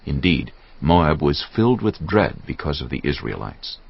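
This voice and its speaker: A man with an extremely deep, gravelly voice narrates scripture in a dramatized, scripted style. His intonation moves widely. The audio is slightly dull, with soft music beneath. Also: big pitch swings, normal speed.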